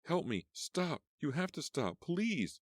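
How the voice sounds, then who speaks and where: A man voicing a terrified teenage girl fleeing danger, pleading in a high, strained voice. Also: very animated, moderate pace, no room sound, studio quality. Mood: panicked.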